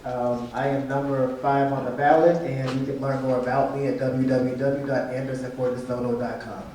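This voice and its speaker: A man delivers a campaign statement in an even, hesitant manner, pausing on fillers. His pitch stays flat and level throughout. He is heard from afar in a strongly echoing hall. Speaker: mayoral candidate. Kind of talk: opening statement speech. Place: civic center event room. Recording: echoey hall, far mic, faint noise.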